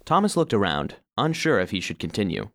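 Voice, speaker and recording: Low-voiced, glum robot narrator. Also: male voice, very animated, brisk, a bit bright, dry recording, studio quality. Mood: storytelling. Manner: wry, storytelling. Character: gloomy, self-deprecating male robot vacuum cleaner telling his story. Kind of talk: children's audio drama narration.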